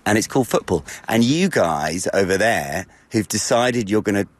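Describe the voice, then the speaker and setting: A man's voice: fairly low pitch, expressive, moderate pace, dry recording. A British man being interviewed, good-humored and a little hesitant. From Britain.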